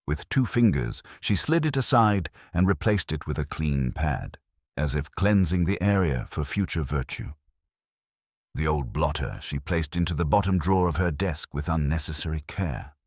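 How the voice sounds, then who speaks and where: A man narrating a mystery story from a script in a measured, precise, literary manner. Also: extremely low pitch, expressive, no room sound, studio quality.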